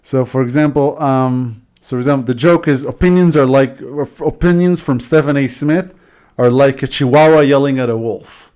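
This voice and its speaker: A man hosting a podcast in a loose, casual chat, reading jokes aloud and filling pauses with "uh" and "um". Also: medium pitch, steady tone, a little mellow. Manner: chatty, loose, many fillers. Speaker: podcast host.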